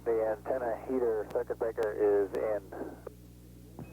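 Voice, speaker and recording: A man's voice: on the low side, steady tone, slow pace, dark tone. An American man, a spacecraft pilot, speaking in terse procedural readbacks over a radio transmission. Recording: crackly, phone-like air-to-ground radio.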